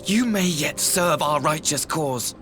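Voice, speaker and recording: A defiant imperial officer in a game, haughty and declamatory. The pitch moves in lively sweeps. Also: male voice, slow pace, faint music behind. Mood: haughty defiance. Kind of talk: video game cutscene acting.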